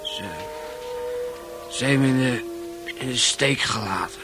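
An actor playing a lonely, touchy young man in a Dutch radio drama, giving halting, terse replies. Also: medium pitch, natural voice. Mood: dejected. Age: young adult. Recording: music playing behind.

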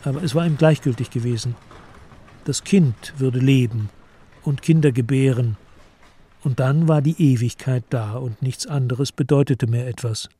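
A man narrating a literary radio play from a script in a measured, solemn manner. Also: mid-range voice, mellow, slightly rough.

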